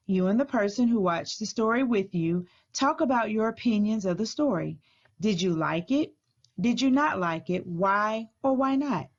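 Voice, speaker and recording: A woman school librarian reading aloud and giving instructions to children in a deliberate, teacherly way. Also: medium pitch, dull audio. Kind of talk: storybook read-aloud and activity instructions.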